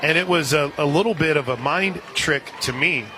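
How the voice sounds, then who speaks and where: A man calling softball play-by-play on the radio, dropping into casual banter between pitches. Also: moderate pace. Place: outdoor softball stadium broadcast position. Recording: outdoor stadium crowd and ambient noise. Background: crowd noise.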